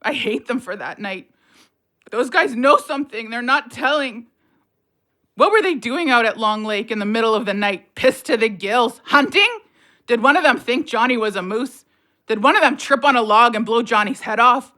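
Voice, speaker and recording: A woman's voice: on the high side, animated, normal speed, bright, forceful tone. An actress playing a bitter, sardonic widowed mother of three in a naturalistic audio play, blunt and colloquial in her talk. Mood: angry, accusing. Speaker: voice actor in audio drama.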